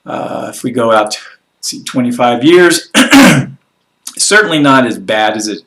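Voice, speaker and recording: A man talks through the week's markets in a loose, rambling way. His voice sits at an ordinary middle pitch. He sounds a bit froggy and congested, and he fills his talk with hesitations and offhand remarks. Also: sing-song, measured pace, fairly bright tone. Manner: rambling, with frequent fillers and blunt asides. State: slightly congested and froggy after a workout. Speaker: financial advisor hosting a weekly market recap. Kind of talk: casual solo commentary on news and markets.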